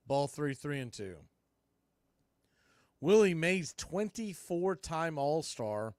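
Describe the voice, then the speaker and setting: A man's voice: on the high side, clean tone, no room sound, studio quality. A man calling live baseball play-by-play and mixing in trivia, with clipped calls that trail off at phrase ends.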